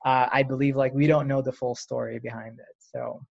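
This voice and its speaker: A man from Lebanon, a startup founder, answering interview questions in an unhurried, reflective way with frequent fillers. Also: mid-range voice, level tone, vocal fry. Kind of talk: casual podcast interview. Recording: dull lo-fi audio.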